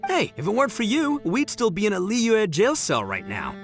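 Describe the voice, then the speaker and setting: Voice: mid-range voice, huge pitch swings, moderate pace. An actor playing a brash, goofy young man in a video game, with a slangy, exclamatory way of talking. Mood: boisterous. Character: Itto, brash, boisterous, goofy young man, gang leader. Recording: faint music behind the voice.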